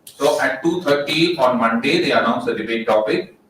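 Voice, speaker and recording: A young man with an Indian English accent and a loud voice, telling an audience a story full of jokes and putting questions to them. The mic is some distance away, so his voice rings around a very echoey hall with a faint murmur behind it. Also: medium pitch, moderate pace, slight background noise. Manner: jokey, asks the crowd questions. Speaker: motivational speaker. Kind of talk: storytelling talk to a live audience.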